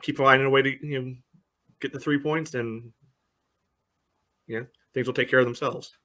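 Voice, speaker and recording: A man's voice: medium pitch, fairly even intonation, normal speed, bright, forceful tone. Matter-of-fact man in casual podcast chat. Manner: matter-of-fact, peppers talk with "you know". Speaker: podcast co-host and fan analyst. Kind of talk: casual match analysis.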